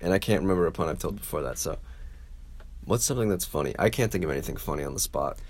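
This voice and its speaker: Podcast co-host, low gravelly man, froggy sore throat, dry joker. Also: lively intonation, quick pace. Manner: dry, joking, thinks out loud. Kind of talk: casual chat.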